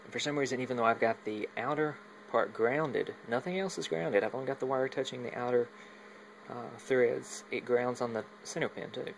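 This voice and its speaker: A man giving a casual, unscripted hobbyist walkthrough on a phone, halting as he thinks aloud. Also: medium pitch, vocal fry, slight background noise, rough recording. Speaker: hobbyist demonstrating a home radio antenna setup. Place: at home, moving between indoors and outside. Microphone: handheld phone while filming.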